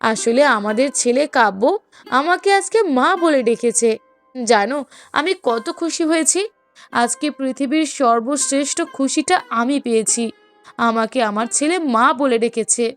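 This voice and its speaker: A woman as an outspoken, high-voiced young wife. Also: moderate pace, faint music behind, lightly acted. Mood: delighted. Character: sharp-tongued young wife and mother, proud and outspoken. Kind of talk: Bengali moral-story cartoon voice acting.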